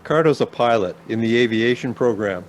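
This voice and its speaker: An actor playing a cautious, pedantic older professor in a naturalistic radio play, formal, dry and reserved in manner. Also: male voice, medium pitch, expressive, fairly quick, close to an everyday voice. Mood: dry, measured.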